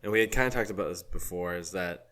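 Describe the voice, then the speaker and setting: A man with a fairly low voice co-hosting a podcast, sounding tired and a little hoarse. He tells loose, rambling stories and laughs often in easygoing chat. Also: quick pace, pushed and bright. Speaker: podcast co-host. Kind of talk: casual chat.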